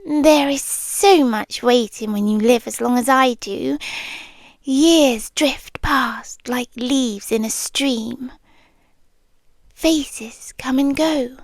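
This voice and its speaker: Female performer for ancient yandere monster girl, British accent. Unhurried, wistful, tenderly possessive, breathy whispering, close and very dry ASMR roleplay sound. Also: sits high, no room sound, full character voice. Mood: wistful, lonely. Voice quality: breathy, often dropping into whisper. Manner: hushed, deliberate, wistful storytelling with pauses. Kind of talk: slow intimate ASMR roleplay. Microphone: close, dry microphone.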